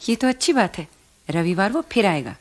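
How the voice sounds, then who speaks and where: A woman playing an affectionate, reproachful middle-aged wife in a radio drama, speaking in a familiar, earnest, gently scolding way. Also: medium pitch, expressive, fairly quick, slight background noise, lightly acted. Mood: earnest, concerned. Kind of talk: radio play acting.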